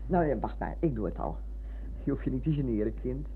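A tinny, slightly noisy radio drama recording features a motherly older woman. She chatters effusively and wanders off the point. Her intonation rises and falls in a very lively way. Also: extremely low pitch, brisk. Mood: fussing. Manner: effusive, digressing storyteller. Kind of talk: radio play acting. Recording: narrow-band, noisy, humming old radio recording.

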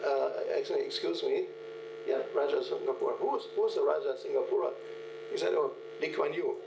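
An older man speaks from a podium in a large hall, telling anecdotes in a halting, filler-laden way. His voice has a gravelly edge. It is picked up from a distance, with long room echo and a steady mains hum behind. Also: on the high side. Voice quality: halting, many "uh" fillers and restarts. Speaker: keynote speaker. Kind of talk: conference lecture with anecdotes. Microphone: podium microphone, recorded from the hall.

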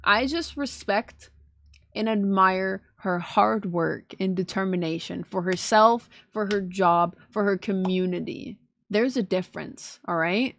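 A woman's voice: medium pitch, measured pace, vocal fry, a bit bright. A woman in her twenties giving casual, gushing, slangy and playful reaction commentary as a streamer. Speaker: streamer reacting to game trailers. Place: small indoor streaming room at home. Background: faint trailer music.

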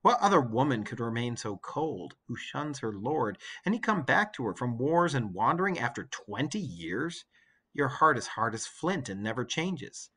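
A man's voice: medium pitch, very animated, moderate pace, bright, forceful tone, husky, pitched below the performer's own voice, heavily acted. A man playing a reproachful grown son in a story read aloud, grave and accusing. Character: reproachful grown son scolding his mother.

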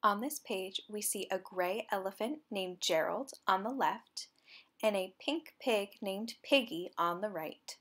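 A young woman reading a children's picture book aloud, softly describing each picture. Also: medium pitch, a little slow. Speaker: storybook reader. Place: indoor room.

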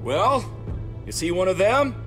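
An actor playing a hot-tempered, distrustful survivor in a video game, sarcastic and abrasive. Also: male voice, high voice, big pitch swings, a little slow, fairly bright tone, heavily acted. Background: music.

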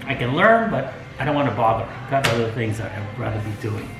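A man's voice: mid-range voice, lively intonation, roomy sound, music in the background, poor audio. An older man talking casually to the camera from his dining room table about planning an RV road trip, opinionated and chuckling now and then. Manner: slow, opinionated, chuckles as he talks. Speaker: RV travel vlogger. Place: home dining room, indoors. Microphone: fixed camera across the table.

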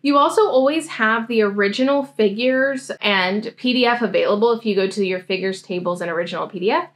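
A young American woman giving a casual software tutorial, explaining things step by step. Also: medium pitch, a little fast. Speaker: host, academic coach demonstrating software. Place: indoor room, seated at a desk.

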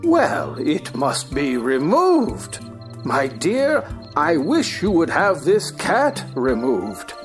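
A woman's voice: low-pitched, wildly swooping pitch, slow pace, soft background music, exaggerated voice. A woman playing a pompous, easily offended king in an audio play, huffy and fussy. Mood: haughty displeasure.

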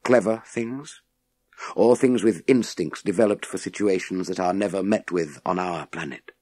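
A man playing a world-weary, authoritative former astronaut with a wry, intense, deliberate manner. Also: sits low, darkish tone, no room sound. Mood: probing, urgent.

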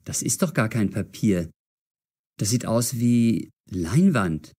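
A man playing an eager, puzzle-solving young amateur sleuth in an audio drama for language learners, speaking expressively and clearly. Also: lowish voice, sing-song, takes it slowly, mellow, exaggerated voice. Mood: puzzled.

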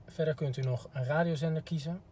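A man's voice: fairly high pitch, fairly even intonation, fairly quick, a little mellow. A man giving a polite, informal instructional demo as a car product expert, recorded inside a parked car. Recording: clear background noise.

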